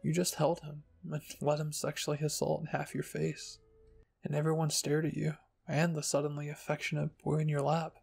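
Husky, even-toned male ASMR narrator. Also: a little high, moderate pace, soft background music. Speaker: narrator and channel host. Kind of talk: ASMR fan fiction reading.

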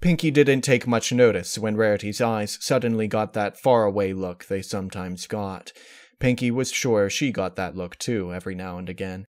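A man's voice: lowish voice, moderate pace. A man reading a fan-fiction story aloud as scripted narration.

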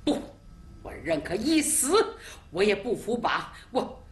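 A woman storyteller giving voice to a cornered young prince who would rather die than surrender. He sounds defiant and earnest, a touch lower than the narration. A roomy echo hangs around the voice. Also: very animated, slow pace. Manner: defiant, pleading.

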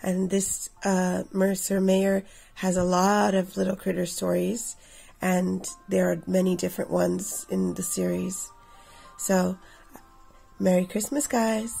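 Lady in her 30s doing a kids storytime read aloud, bit raspy and creaky, expressive. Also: fairly low pitch, slow pace, faint music behind. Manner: addresses children directly. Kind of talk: expressive picture-book reading for children.

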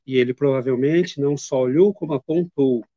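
A middle-aged man giving a devotional spiritist lecture from his home study, speaking in a measured, earnest and reflective way with pauses. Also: mid-range voice, mellow, no room sound. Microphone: webcam video call. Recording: muffled lo-fi video call audio.

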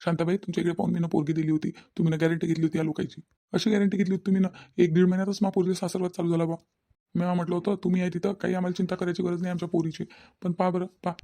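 A woman plays an aggrieved village farmer and father in a comedy cartoon. He speaks very fast in a low, mellow, fairly flat voice with a Varhadi Marathi accent, pressing his questions again and again. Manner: insistent, repetitive questioning. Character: worried middle-aged village father, farmer, protective and aggrieved.

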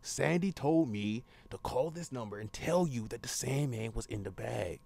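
A young man making an improvised prank phone call as the caller, rambling insistently and repeating himself in a gravelly voice. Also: mid-range voice, expressive, normal speed, a little mellow.

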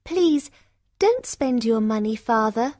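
A woman's voice: a little high, animated, takes it slowly, warm tone, no room sound, clean studio sound. A woman voicing a girlish, emotional young daughter in a fairy-tale storybook. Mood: gentle, sweet. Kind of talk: graded storybook audiobook character voice.

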